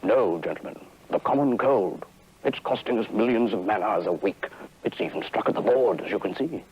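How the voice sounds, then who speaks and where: A man's voice: on the low side, expressive, moderate pace, husky. An actor playing a grave, pompous company chairman in a mock-serious old commercial, speaking solemnly and formally. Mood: grave, urgent. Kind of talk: mock-serious vintage TV or radio ad acting. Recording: muffled old commercial with music, replayed.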